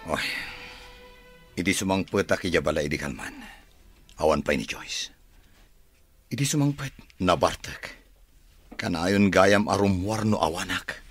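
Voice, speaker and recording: An actor playing a suspicious, wronged husband in an Ilocano radio drama, with an Ilocano accent and a husky voice. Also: male voice, sits low, expressive, measured pace, long pauses, faint music behind, full character voice. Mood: aggrieved. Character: suspicious, wronged husband confiding about his wife.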